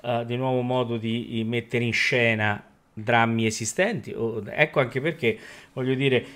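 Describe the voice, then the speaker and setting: A man's voice: medium pitch, steady tone, normal speed, fairly bright tone. A man co-hosting a casual radio discussion, feeling his way tentatively through his sentences. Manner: tentative, searching for words. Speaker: radio show co-host.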